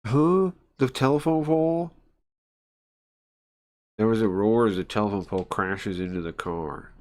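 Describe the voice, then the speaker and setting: A man reading and translating a visual novel aloud on a stream in a halting, informal way, often searching for words and chatting along. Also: darkish tone. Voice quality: low-pitched voice. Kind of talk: casual live read-through, translating and chatting.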